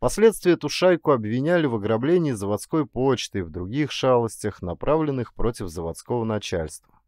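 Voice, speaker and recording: A man reads a literary tale like a stage actor. His delivery is expressive, with an animated, middling-pitched voice that rises and falls a lot. The recording is dry and close. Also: brisk, no echo. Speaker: audiobook narrator and actor. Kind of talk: expressive literary story narration.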